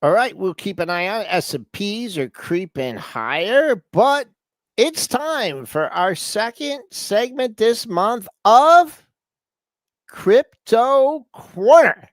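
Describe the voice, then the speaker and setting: Playful host with a dry close sound. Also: male voice, high voice, big pitch swings, slow pace, dry recording. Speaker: show host. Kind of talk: casual live show hosting.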